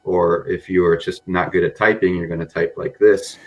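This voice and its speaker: Deep-voiced man, rambling and casual. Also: steady tone, normal speed, highs cut off. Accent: mixed American. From grew up in Japan among Americans. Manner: rambling, frequent "you know" and "um". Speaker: podcast guest, data scientist. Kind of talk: casual podcast interview.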